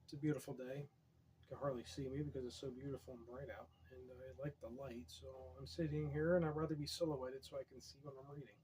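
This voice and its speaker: A man with a hoarse, fading voice, reading poetry aloud and drifting into wry, rambling asides, keeping his voice soft and quiet. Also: mid-range voice, fast, slight background noise, low-quality recording. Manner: quiet, soft-spoken, wry deadpan humor. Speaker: poetry reader.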